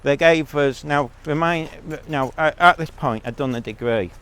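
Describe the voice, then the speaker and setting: A man's voice: on the high side, normal speed, a bit bright, clear, resonant tone. A man giving a blunt, rambling monologue as a vlogger, talking while out on a walk.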